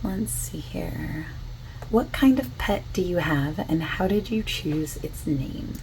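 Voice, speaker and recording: A soft-spoken woman doing an ASMR roleplay as a survey interviewer, asking questions in a gentle, casual, reassuring way. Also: fairly low pitch, expressive, slow pace. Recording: constant keyboard typing noise. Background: computer keyboard typing and clicking.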